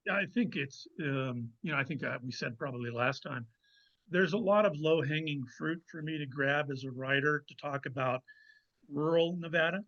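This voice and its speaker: A man speaking as a guest author in a conversational interview, explaining things in a measured way with pauses to find his words. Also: fairly high pitch, a bit bright, muffled recording. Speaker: guest novelist.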